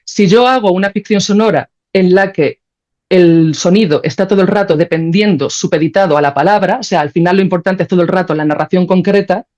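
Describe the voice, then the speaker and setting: A woman's voice: lowish voice, a little fast, darkish tone. Dull-sounding woman explaining in conversation. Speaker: podcast guest, sound creator. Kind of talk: conversational interview answers, explanatory.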